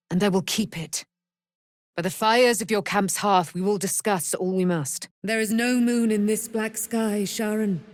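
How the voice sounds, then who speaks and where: A proud noble warrior lady from a fantasy game, super slow grand old-timey speech, kinda low voice. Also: no room sound, studio quality. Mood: grave, intense. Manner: theatrical, archaic, declamatory speech. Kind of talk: dramatic fantasy video game voice acting.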